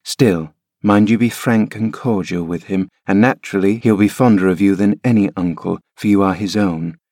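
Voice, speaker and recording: Brief: male reader playing a gentle servant woman soothing a child, calm and low, no room sound. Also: normal speed, no echo, clean studio sound. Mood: reassuring. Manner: coaxing, reassuring. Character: kindly practical female housekeeper.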